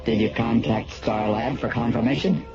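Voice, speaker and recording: An actor playing a stern, scornful alien authority in a radio drama, speaking in a grave, measured, formal manner. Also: male voice, mid-range voice, steady tone, lightly acted. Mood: stern, accusing. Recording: dull lo-fi radio drama, music behind.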